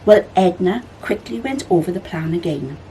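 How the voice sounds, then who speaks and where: A woman reading a children's story aloud as a library storyteller, with expressive narration. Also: fairly low pitch, normal speed, slight background noise, poor audio.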